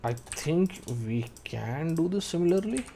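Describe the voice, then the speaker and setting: Man in his thirties, software tutorial, lively pitch, talks through each step, faint keyboard clicks behind. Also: measured pace, vocal fry, slight background noise. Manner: thinking aloud while working, calling out shortcuts. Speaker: 3D modeling tutor. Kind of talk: screen-recorded software tutorial.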